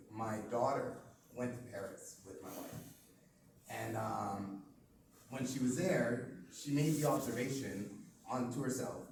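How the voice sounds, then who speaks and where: A Canadian-born man speaking in a panel discussion, measured and deliberate with some halting restarts. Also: mid-range voice. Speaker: panel participant. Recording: roomy, distant mic with noise.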